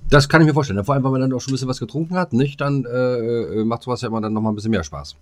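A man's voice: medium pitch, very fast, darkish tone. A man chatting casually as a podcast co-host, reacting and chipping in. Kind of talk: casual chat.

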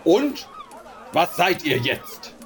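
An actor playing a cruel slave-trading ship captain in an audio drama, sneering and harsh. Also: male voice, fairly high pitch, sing-song, extremely slow, fairly bright tone, a faint crowd, exaggerated voice. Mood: menacing. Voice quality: gravelly, sneering.

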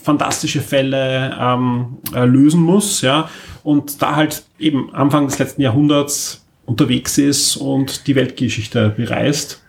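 A man's voice: mid-range voice, steady tone, a little slow, creaky, a little hiss or hum. A man co-hosting a podcast in casual chat, wordy and enthusiastic, piling up emphasis in long monologues. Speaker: podcast co-host.

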